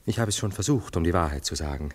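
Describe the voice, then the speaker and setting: A jealous gentleman lover in a classic radio play. His voice is low, his delivery theatrical and eloquent, drifting between brooding reflection and cynical, self-dramatising emphasis. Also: expressive, fast talker. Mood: tormented, jealous. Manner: theatrical, eloquent, musing monologues with emphatic swings. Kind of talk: German literary radio play acting.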